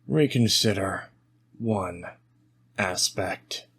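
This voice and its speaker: Guy doing stern philosopher wanderer in an rpg, low voice, slow halting solemn talk. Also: fairly bright tone, slightly rough, heavily acted.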